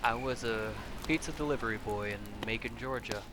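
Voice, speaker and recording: A man's voice: medium pitch, normal speed, close to an everyday voice. A man playing a regretful young survivor, a former pizza delivery boy, in a subdued, confessional comic dub performance. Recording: noisy ambient background.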